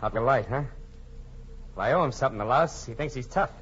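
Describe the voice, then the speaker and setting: A man's voice: mid-range voice, expressive, a little fast, a bit bright, natural voice. Guy playing a mean young henchman in a 40s radio play, sneery and curt, muffled with some hiss. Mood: vindictive, sneering. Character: spiteful, thuggish young male gunman henchman. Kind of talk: 1940s radio acting. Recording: muffled, noisy 1940s radio broadcast.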